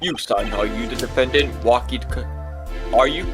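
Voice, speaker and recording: A man's voice: medium pitch, moderate pace, lightly acted. A man voicing a stuffy, easily baffled old courtroom judge in a video game, formal and literal-minded. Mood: puzzled, formal. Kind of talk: amateur video game voice acting. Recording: loud music behind.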